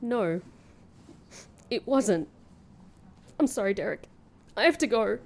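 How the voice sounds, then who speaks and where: A sardonic young woman in a fantasy comedy podcast voiced by an actress. Her delivery is dry and halting, unhurried in pace, with big swings in pitch as she gets flustered. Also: lively intonation, vocal fry, a little mellow, full character voice. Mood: awkward, subdued. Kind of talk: comedy fantasy audio drama acting.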